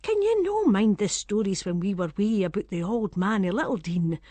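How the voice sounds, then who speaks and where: A woman's voice: mid-range voice, sing-song, normal speed, mellow, no echo. An actress playing a gossipy, superstitious Scottish village woman, chatting conspiratorially in Scots dialect as a dramatic monologue. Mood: storytelling, confiding.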